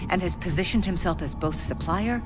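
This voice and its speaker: Actress playing a stern military intelligence officer over loud music. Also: female voice, lowish voice, brisk, natural voice, poor audio. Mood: grave, determined. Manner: authoritative, clipped, businesslike. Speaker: case officer giving mission briefings. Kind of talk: scripted mission briefing. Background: dramatic game score.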